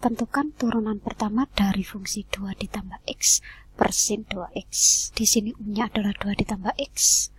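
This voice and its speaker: A woman teacher walks through a math lesson step by step in steady, scripted narration. Her voice is airy and husky, close to a whisper, at a middle pitch. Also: moderate pace.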